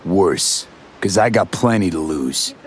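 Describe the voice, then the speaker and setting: An actor playing a blunt, skeptical, streetwise mercenary in a video game, talking in terse, clipped, slangy phrases. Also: male voice, low-pitched, expressive, slow pace. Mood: dry, guarded. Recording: noisy street ambience behind.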